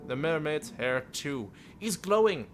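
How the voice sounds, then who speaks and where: Theatrical, higher-voiced man streaming with music. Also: sing-song, unhurried. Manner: theatrical, playful. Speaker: streamer reading a visual novel aloud. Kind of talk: dramatic read-aloud narration with joking asides.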